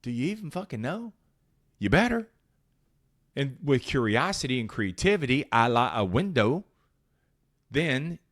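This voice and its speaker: A man hosting a motivational sales podcast, talking bluntly and emphatically in short, punchy phrases, with some profanity. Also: on the high side. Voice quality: powerful voice. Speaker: podcast host and sales coach. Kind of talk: motivational solo talk.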